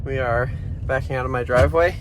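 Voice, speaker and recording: A man's voice: medium pitch, a little fast, background noise. Casual male vlogger, stuffy nasal tone, rambling with fillers, recorded on camera outside. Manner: relaxed, rambling, lots of uh fillers. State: nasal from allergies. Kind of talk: casual vlog walkthrough. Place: outdoors on a commercial lot and driving in a truck.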